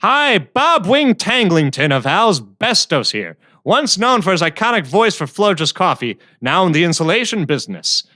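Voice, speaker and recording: A man playing an upbeat radio advert pitchman with a showy salesman's delivery. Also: big pitch swings, normal speed, hardly any pauses, pushed and bright, dry recording, clean studio sound, heavily acted. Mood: slick, upbeat. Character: upbeat male radio pitchman selling home insulation. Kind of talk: comic radio advert parody.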